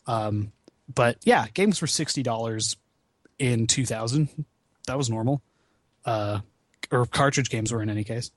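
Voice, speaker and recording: A man's voice: medium pitch, unhurried, pauses often, no echo, clean studio sound. A man chatting casually as a podcast guest about video games, swearing freely with a wry, self-deprecating manner. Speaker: podcast guest, video game marathon founder.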